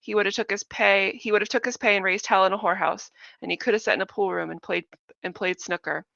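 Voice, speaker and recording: A woman's voice: medium pitch, fairly even intonation, quick pace, vocal fry, pushed and bright, dry recording. A woman voicing a fat little old aunt who scolds and nags sharply in a storybook reading. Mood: scolding. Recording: dull lo-fi dry recording.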